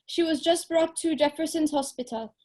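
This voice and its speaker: A woman's voice: high-pitched, normal speed, a little mellow, lightly acted. A young woman playing a female doctor who speaks in a formal, clipped way in an amateur audio drama.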